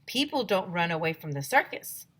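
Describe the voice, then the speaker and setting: A woman's voice: lowish voice, big pitch swings, moderate pace, a bit bright, breathy edge, exaggerated voice. A woman voicing a sceptical, matter-of-fact schoolboy with a blunt manner in a children's storybook.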